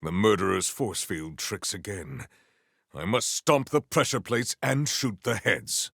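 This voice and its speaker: Deep, gravelly, solemn warrior-monk. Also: male voice, expressive, measured pace, a bit bright, no room sound, clean studio sound, exaggerated voice. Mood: formal, steady. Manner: formal, stately, theatrical diction. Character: solemn, honor-bound warrior-monk vault hunter, grandly earnest. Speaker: video game character voice actor. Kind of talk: scripted video game dialogue.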